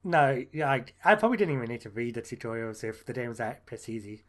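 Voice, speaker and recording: A man's voice: medium pitch, expressive, quick pace, fairly bright tone, slight background noise. A man co-hosting a gaming podcast, talking casually, laughing often and trailing off mid-sentence. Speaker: podcast co-host.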